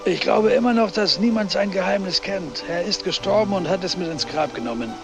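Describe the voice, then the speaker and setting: A man's voice: sits high, fairly quick, music in the background. An actor playing a convinced male interviewee in a radio drama's documentary interview.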